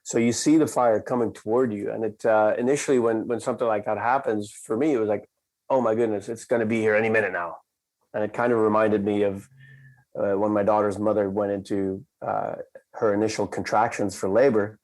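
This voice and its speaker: Low-voiced Dutch-raised man telling a story. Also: steady tone, a little mellow, rough recording. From Netherlands. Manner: measured, reflective, slows for emphasis. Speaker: company cofounder, guest. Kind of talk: conversational storytelling.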